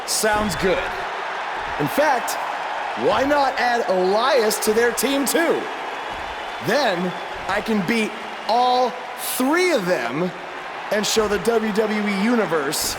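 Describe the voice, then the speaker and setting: An actor playing a fired-up, defiant pro wrestler challenger in a wrestling game. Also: male voice, high voice, lively intonation, slow pace, pauses often, over a noisy crowd, heavy background noise. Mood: cocky.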